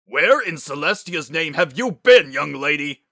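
A man acts out a middle-aged Pegasus dad from a storybook, stern yet deeply loving. His voice is bright and forceful, halting when feeling takes over, and recorded close and dry. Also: animated, dry recording. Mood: angry scolding.

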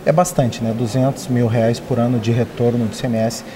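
A man's voice: mid-range voice, level tone, fairly quick, noisy background. A man in his thirties, a newspaper editor, explaining a story in a live interview with frequent hesitations. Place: open-plan newsroom.

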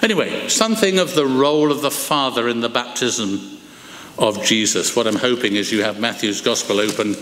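An older man preaching a sermon from a lectern in a large church, expressive and slowing down to stress his points. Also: medium pitch, slight background noise. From England. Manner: expressive, gestures broadly, slows for emphasis. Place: large wooden church, indoors. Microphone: lectern microphone. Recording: echoey church hall, long reverb.

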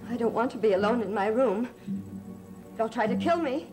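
Actress as haunted young soap heroine: high voice, slow, pleading, music behind. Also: female voice, lively intonation, close to an everyday voice. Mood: agitated, desperate. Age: young adult. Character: frightened young gothic heroine, haunted and insistent. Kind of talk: 1960s gothic soap opera acting.

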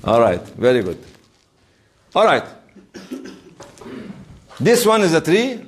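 Animated man lecturing a class. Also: a little high, slow pace, a little hiss or hum. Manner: emphatic storytelling with rhetorical questions. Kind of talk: informal interactive classroom lecture.

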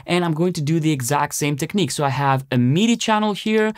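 A man's voice: fairly high pitch, a little fast, no room sound, studio quality. A man in his thirties explaining music production step by step in a conversational tutorial style. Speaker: tutorial presenter. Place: small home-style music studio, indoors.

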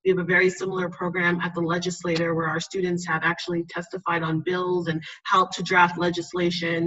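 A woman's voice: lowish voice, a bit bright, slightly rough. A woman from New York City, a college admissions officer, presenting enthusiastically on a video call panel with frequent ums. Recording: distant, dull video call audio.

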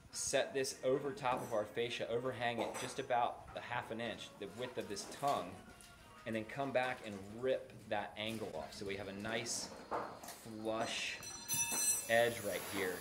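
A man's voice: mid-range voice, fairly even intonation, unhurried, over music. A middle-aged North American man giving an informal, practical how-to explanation as a builder, in a plain-spoken way. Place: open timber-frame building site. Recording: clear background noise on an open site.

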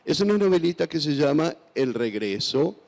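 A man's voice: on the high side, very animated, normal speed, gravelly, darkish tone. A man in his sixties from Argentina, answering onstage interview questions with a handheld microphone, thoughtful and hesitant with many fillers and restarts. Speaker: author guest. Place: large festival stage. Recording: long hall reverb.

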